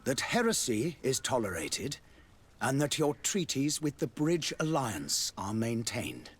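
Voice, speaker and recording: An actor playing a suave, pompous religious dignitary and ambassador in a video game, speaking in a courtly, florid and unctuous manner. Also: male voice, mid-range voice, sing-song, a little slow, breathy edge. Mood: smooth, proud. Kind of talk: video game dialogue acting.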